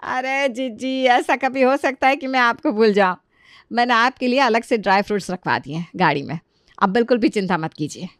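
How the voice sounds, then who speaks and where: A woman's voice: fairly high pitch, fairly quick, no room sound, studio quality, natural voice. Woman playing a doting, effusive middle-aged mother-in-law. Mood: doting, reassuring.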